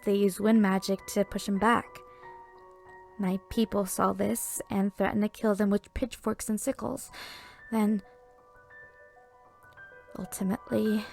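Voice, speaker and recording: A woman plays a young fantasy village woman, earnest and haunted. Her voice sits at a middle pitch and comes haltingly, weighted with grief. It is audio drama acting with light music underneath. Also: darkish tone, lightly acted.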